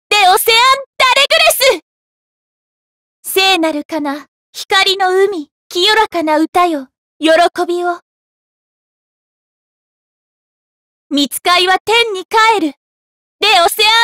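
Cheery devout anime game girl, polite and sweet, super high voice, dry studio sound over music. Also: fairly bright tone, no echo, heavily acted. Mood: devout, resolute. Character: cheerful, devout young holy maiden in summer mode. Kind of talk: anime mobile game character lines.

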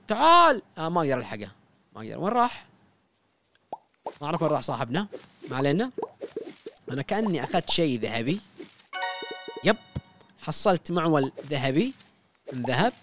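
A man's voice: on the high side, big pitch swings, quick pace, pauses often, fairly bright tone, faint music behind. A young man giving casual let's-play commentary, chatting to viewers and repeating short commands as he plays, on a close studio mic in a small home studio. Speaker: gaming YouTuber. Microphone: studio mic close up, wearing headphones.

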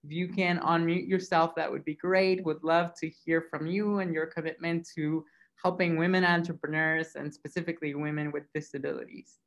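A man's voice: a little high, level tone, moderate pace, a bit bright. A man in his thirties from Mexico, hosting an online event over a video call from an office, upbeat and full of fillers. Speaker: event host. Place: office room, indoors. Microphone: video call webcam.

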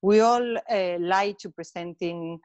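A woman from Spain with a Spanish accent, speaking English as a second language, giving an earnest webinar talk on a video call with some halting phrasing. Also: on the low side, darkish tone, no echo. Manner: earnest, halting non-native phrasing with fillers. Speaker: webinar speaker, colour consultant. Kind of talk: online presentation.

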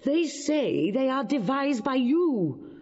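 A woman's voice: high voice, sing-song, takes it slowly, a little mellow, heavily acted. A woman playing a dignified, earnest queen pleading boldly in classical verse for an audio drama. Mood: firm, grave. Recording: muffled, long hall reverb.

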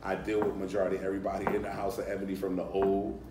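Deep voiced guy chatting on a handheld mic, echoey room, phone recording from across the room. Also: rapid-fire. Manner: relaxed, repeats phrases, conversational. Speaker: panelist in audience. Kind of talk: casual discussion at a conference. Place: hotel conference room, seated at a table. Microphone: handheld mic, recorded by a phone camera across the room. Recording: echoey room, from far off, noisy.

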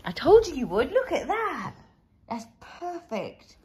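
A woman's voice: sits high, very animated, unhurried, darkish tone. An enthusiastic woman in her thirties chatting casually for a home vlog, recorded indoors on a handheld device. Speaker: vlogger. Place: living room and kitchen at home, indoors. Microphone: handheld phone or camera, near.

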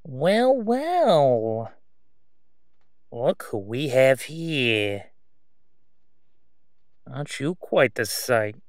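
A man's voice: mid-range voice, very animated, very slow, long pauses, no room sound, clean studio sound. A man playing a suave, flirtatious crime boss in an audio roleplay, drawing out his words teasingly. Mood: amused, appraising. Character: smooth-talking, flirtatious, sly male crime boss.

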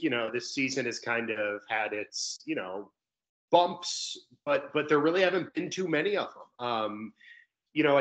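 A man's voice: mid-range voice, a bit bright. A young man giving conversational sports analysis as a guest announcer on a video call. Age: young adult. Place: indoor room on a video call. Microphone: video call microphone. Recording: dull, slightly lo-fi call audio.

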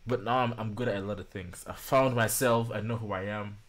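Male reaction-channel host in his 20s, fairly low voice, informal and thoughtful commentary. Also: steady tone, fairly quick, fairly bright tone, slight background noise. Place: small home studio room.

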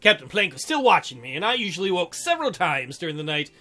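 A man's voice: high voice, big pitch swings, fast talker, sharp tone. A man hosting a let's play, reading a visual novel aloud and adding jokey, theatrical commentary. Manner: jokey, theatrical, breaks into silly mock voices. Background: game music.

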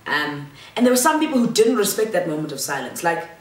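A woman's voice: on the low side, very animated, quick pace. A young woman tells a chatty, slangy storytime vlog, seated in a large living room and filmed by a camera across the room. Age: young adult. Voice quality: loud voice. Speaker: vlogger. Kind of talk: casual storytime monologue. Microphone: fixed camera across the room. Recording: roomy echo from the mic's distance.

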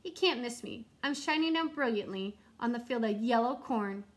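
Boastful, indignant crayon for a picture book, insistent, higher voice, woman reading. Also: animated, normal speed, lightly acted. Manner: insistent, self-important.

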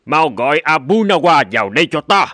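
A man's voice: fairly high pitch, expressive, a little fast, bright, forceful tone. A man playing a haughty, menacing middle-aged king in a cartoon folk tale. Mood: imperious.

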